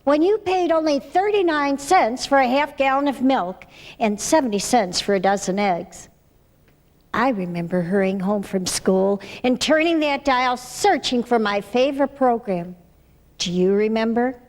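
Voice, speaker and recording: A woman's voice: on the high side, expressive, a little slow. An older American woman reading scripted, nostalgic narration as presenter of a radio ensemble. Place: small studio room, indoors. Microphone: stand microphone.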